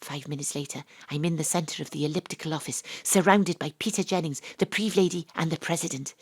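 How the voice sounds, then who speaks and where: An actress playing a brisk, sardonic female private investigator in an audio drama, telling the story in a dramatic, knowing way. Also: sits low, fairly even intonation, breathy edge, no echo. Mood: dramatic, confiding. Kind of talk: audio drama narration and dialogue, hard-boiled detective parody.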